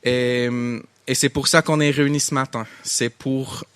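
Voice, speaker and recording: A young man from Canada with a Québécois French accent, preaching a sermon in an earnest, halting way with many restarts. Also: medium pitch, little pitch movement, fairly bright tone, smooth, no echo.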